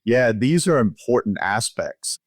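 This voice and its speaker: A man's voice: medium pitch, big pitch swings, measured pace, no echo, clean studio sound. A man speaking as a physician guest, explaining clinical findings in a conversational interview style.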